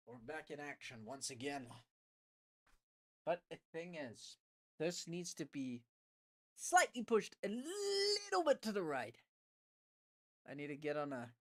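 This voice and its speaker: Sniffly male streamer muttering to himself. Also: on the high side. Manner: mutters to himself while setting up, casual slang. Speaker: livestreamer. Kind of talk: casual live-stream chatter. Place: home room.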